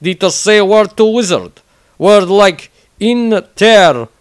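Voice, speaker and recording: A man's voice: sits high, lively intonation, measured pace, smooth, no echo, studio quality. A man voicing a blunt, simple-minded barbarian warrior who tells a violent fantasy diary in clipped, broken grammar. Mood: blunt, amused. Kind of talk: in-character diary narration, fantasy audio story.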